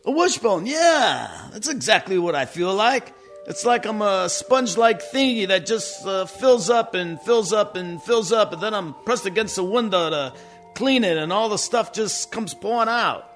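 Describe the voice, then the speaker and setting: A man's voice: high-pitched, animated, normal speed, fairly bright tone, soft background music. A man playing a neurotic, exasperated middle-aged mob boss in a comic radio play, whiny and rambling with tough-guy slang. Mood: anxious, rambling.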